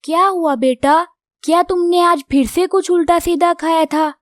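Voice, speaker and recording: A woman's voice: very high-pitched, normal speed, dry recording, studio quality. A woman voicing a concerned mother crow in a children's cartoon, motherly and questioning. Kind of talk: Hindi children's cartoon dubbing.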